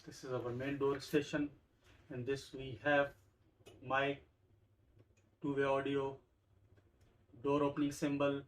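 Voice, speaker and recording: A man's voice: medium pitch, monotone, slow, pauses often, poor audio. A man with a mid-range voice presents a product unboxing as a review host. He explains each item step by step in short, simple phrases. The recording has some noise behind his voice. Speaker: tech review channel host.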